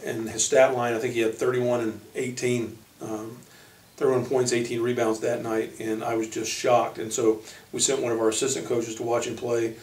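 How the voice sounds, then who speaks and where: An American man speaking as a basketball coach, informally introducing his team in halting short phrases. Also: medium pitch, flat delivery, darkish tone. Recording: echoey room, distant microphone.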